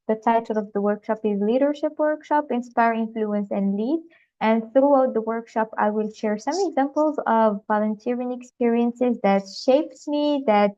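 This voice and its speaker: A woman's voice: fairly high pitch, warm tone. A young woman from Morocco, a youth leader and trainer, gives an online workshop presentation with some small hesitations. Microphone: video call. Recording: slightly dull, lo-fi video call audio.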